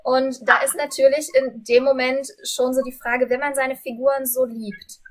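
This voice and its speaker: A woman's voice: high-pitched, flat delivery, moderate pace, rough recording. A woman in her thirties conducting a conversational interview over a video call. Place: room with bookshelves, video call.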